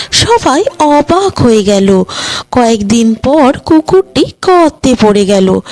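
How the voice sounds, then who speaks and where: High-voiced, animated woman storyteller. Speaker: storyteller and channel host. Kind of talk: expressive scripted narration of children's moral stories.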